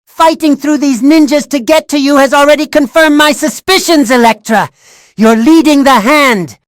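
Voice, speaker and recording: A woman's voice: high-pitched, moderate pace, no echo, clean studio sound, lightly acted. A woman voicing a determined, vengeful action heroine with an earnest, formal delivery in fan-made game dialogue. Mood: accusing.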